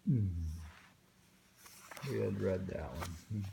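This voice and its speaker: A man reading poems aloud and commenting casually in a slow, musing way, with thoughtful hums. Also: low-pitched, creaky, background noise. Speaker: reader of translated poetry.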